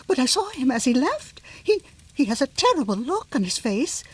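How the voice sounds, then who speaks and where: An actress playing a timid, worried, devoted housekeeper in a 1950s radio mystery, with a fearful and servile manner. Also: female voice, high-pitched, big pitch swings, moderate pace, slightly rough, full character voice.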